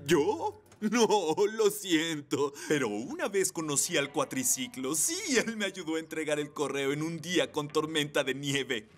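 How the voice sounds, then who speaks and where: A man voicing a puzzled, good-natured delivery motorcycle dad in an animated children's dub, speaking deliberately. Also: on the high side. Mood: wistful. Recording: cartoon music behind.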